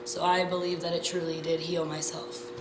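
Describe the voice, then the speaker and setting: A raspy eighteen-year-old woman, a Reiki student, earnestly and enthusiastically answering interview questions. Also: fairly low pitch, little pitch movement, normal speed. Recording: loud noisy echoey room.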